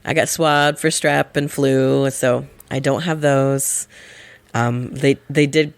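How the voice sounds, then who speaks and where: A woman co-hosting a casual podcast, her voice gravelly and lower from a respiratory infection, chatty and often correcting herself. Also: fairly even intonation, slow, no echo. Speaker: podcast co-host.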